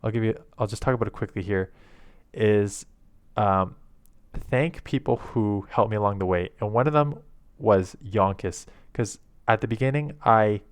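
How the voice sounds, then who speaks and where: A man, rambling casually to camera in a monotone, low-key way on an old headset mic at his home desk. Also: normal speed, smooth, dry recording. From Canada. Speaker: YouTube content creator. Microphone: old headset microphone.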